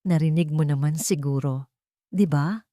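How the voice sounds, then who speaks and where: A woman voicing a smug, sharp-tongued mistress in a drama story, haughty and snippy. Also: sits low, measured pace, no room sound, clean studio sound. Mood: smug scorn.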